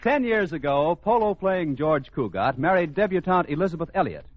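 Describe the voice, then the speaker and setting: Animated, higher-voiced old-time radio announcer. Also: male voice, normal speed. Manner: polished, theatrical, wry storytelling. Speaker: radio show announcer and narrator. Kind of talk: scripted 1940s radio narration. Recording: dull old radio recording over music. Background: prominent music.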